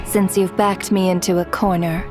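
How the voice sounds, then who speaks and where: A woman's voice: fairly low pitch, level tone, normal speed, lightly acted. Woman as haughty spirit of death over music. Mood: imperious calm. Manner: formal, imperious, condescending toward mortals. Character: haughty female Ruler of Death, an otherworldly spirit. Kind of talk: dramatic game dub acting. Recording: music behind the voice.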